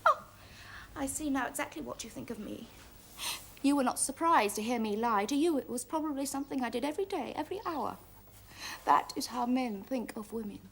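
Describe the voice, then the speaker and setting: A woman's voice: high voice, lively intonation, brisk, vocal fry, a bit bright, husky, exaggerated voice. A woman playing a proud, romantic young lady in a stage comedy, with a declamatory, emotional delivery. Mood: indignant. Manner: declamatory, noble posturing, emotional swings.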